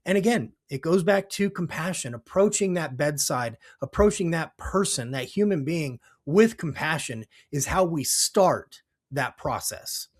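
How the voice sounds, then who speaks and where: Higher-pitched, slightly scratchy male podcast host. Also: lively intonation, unhurried, hardly any pauses. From United States. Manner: emphatic, repeating phrases in building lists. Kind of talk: conversational podcast talk, earnest.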